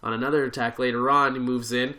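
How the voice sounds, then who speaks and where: A man hosting a casual sports podcast, hoarse and nasal from a cold, chatty and quick to laugh. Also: mid-range voice, flat delivery, pushed and bright. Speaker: podcast host. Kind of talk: casual chat.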